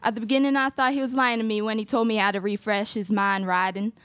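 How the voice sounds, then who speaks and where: A woman's voice: medium pitch, fairly even intonation, quick pace, fairly bright tone, clear, resonant tone, no echo, natural voice. Young woman as indignant wife, dry close sound. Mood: aggrieved. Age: young adult. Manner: accusatory, aggrieved. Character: indignant, accusing wronged Western wife. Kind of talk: student radio theatre acting. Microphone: close stage microphone.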